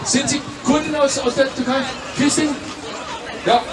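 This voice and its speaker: A man in his fifties with a fairly high voice speaks forcefully at an outdoor street rally, often tagging a quick "ja?" to his points. His handheld-mic voice carries from a distance, with a crowd clearly audible around him. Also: steady tone, very noisy. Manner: forceful, repeating "ja?", inviting and prompting. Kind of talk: political street rally speech. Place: outdoor city square rally. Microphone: handheld microphone.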